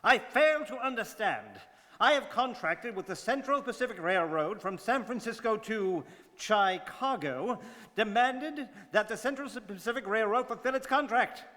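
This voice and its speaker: High, bright-voiced formal gentleman, acted by a man. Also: animated, unhurried, exaggerated voice. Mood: insistent, unruffled. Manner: clipped, formal, precise. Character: imperturbable, formal English gentleman traveller. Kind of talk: radio drama acting. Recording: reverberant hall-like studio.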